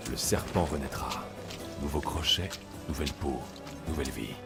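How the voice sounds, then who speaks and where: A man's voice: a bass voice, normal speed, vocal fry, loud music behind the voice. A man acting a bold, blunt ancient Greek mercenary in a dubbed video game, speaking with confidence. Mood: pondering.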